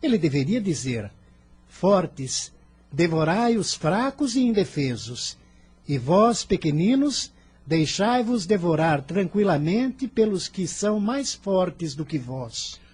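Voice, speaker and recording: A man's voice: high-pitched, big pitch swings, slow, a little mellow, husky, heavily acted. A man playing an earnest, devoted adolescent son in a religious radio drama, speaking in a solemn, formal, impassioned way. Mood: indignant, impassioned.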